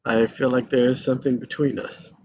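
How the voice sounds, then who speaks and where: A man playing a discontented, self-pitying married man in his thirties in a live screenplay read, speaking casually and haltingly. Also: mid-range voice, flat delivery, a little mellow, breathy edge, lo-fi sound. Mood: earnest, nervous.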